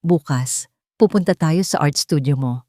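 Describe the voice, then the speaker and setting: A woman voicing a gentle but firm, reassuring male love interest in an audio romance story. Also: low voice, measured pace, no room sound, clean studio sound. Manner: soft, steady, reassuring.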